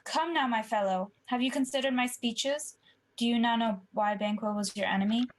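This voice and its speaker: A woman's voice: a little high, moderate pace, lightly acted. A young woman acting an ambitious, guilty usurper king in a Shakespeare radio play. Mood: conspiratorial. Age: young adult. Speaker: student actor.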